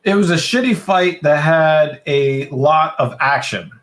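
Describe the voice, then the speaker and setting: A man's voice: on the high side, unhurried, a bit bright. A man co-hosting a casual watch party, chatting loosely about a fight, laughing and often restarting his sentences. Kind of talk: casual fight-night chat.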